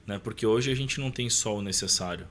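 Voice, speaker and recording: Brief: male podcast guest, Brazilian Portuguese accent, somewhat low but bright, forceful voice, colloquial and slightly preachy. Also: quick pace, a little hiss or hum. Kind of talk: casual conversation with preaching tone.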